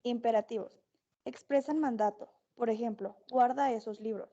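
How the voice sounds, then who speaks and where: A woman's voice: on the high side, steady tone, normal speed, mellow. A woman student reading a passage aloud in class. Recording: dull distant lo-fi audio.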